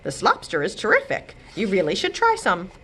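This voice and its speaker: A woman's voice: mid-range voice, wildly swooping pitch, moderate pace, sharp tone, slightly rough, exaggerated voice. A woman voicing a mild, placating husband and assistant to a fairy godmother in a children's storybook. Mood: soothing, flustered. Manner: placating, mild-mannered, apologetic. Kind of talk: children's storybook read-aloud.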